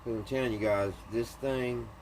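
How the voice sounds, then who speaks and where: A man's voice: mid-range voice, steady tone, normal speed, darkish tone. A man in a casual hands-on repair video, thinking aloud in a folksy, muttering way as he works. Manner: folksy, muttering to himself while working. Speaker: hobbyist repairing an antique radio. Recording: clearly noisy hands-on home video.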